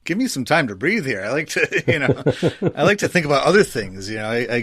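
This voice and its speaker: Male podcast host, mid-pitched and conversational, informal history chat, dry recording. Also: big pitch swings, brisk, a bit bright, breathy edge, no room sound. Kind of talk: casual conversational history discussion.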